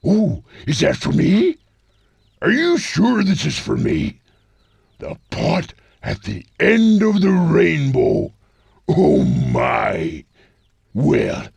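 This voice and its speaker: An actor playing a weary, thousand-year-old all-seeing guide in a video game, with a gravelly, husky voice and a slow, dramatic manner. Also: male voice, a little high, darkish tone, no room sound, heavily acted. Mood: delighted, then obliging. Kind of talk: video game cutscene acting.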